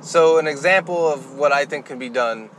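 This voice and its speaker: A man's voice: fairly high pitch, moderate pace, a bit bright, slight background noise. A man co-hosting a casual podcast, chatting bluntly and jokingly with a co-host. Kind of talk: casual conversational podcast chat about movies.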